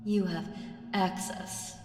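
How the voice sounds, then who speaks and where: Woman acting ancient primordial she-demon, audio roleplay, very slow deliberate delivery, taunting, menacing, whispery touches, heavily echoing over music. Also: lowish voice, fairly even intonation, noisy background. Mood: commanding, smug. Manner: slow, deliberate, teasing, lapsing into breathy whispers. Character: ancient female primordial evil, seductive, taunting, patient and menacing. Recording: very echoey, long reverb, music behind.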